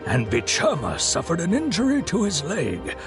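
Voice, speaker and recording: A high-pitched, earnest young man from a video game. His intonation swings widely as he recounts things with somber concern and slight hesitation. Music plays behind him. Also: wildly swooping pitch, moderate pace. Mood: somber, explanatory. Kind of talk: scripted game dialogue, storytelling.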